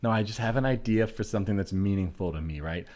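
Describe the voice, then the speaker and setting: A man improvising an easygoing, earnest tattooed guy in a comedy scene, talking casually in a halting, rambling way. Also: fairly low pitch, darkish tone, natural voice. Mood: earnest, unbothered.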